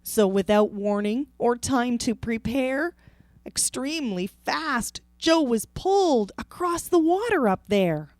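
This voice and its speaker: A woman reading a rhyming children's picture book aloud in a theatrical, deliberate way. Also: on the high side, animated, a little mellow, no room sound, clean studio sound. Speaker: storybook reader.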